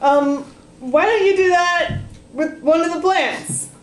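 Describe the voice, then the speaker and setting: A woman voicing a hapless, excitable, dim brother in a comic story, flustered and exclamatory. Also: very high-pitched, slow pace, pitched above the performer's own voice. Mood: panicked, flustered. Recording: roomy echo with noise.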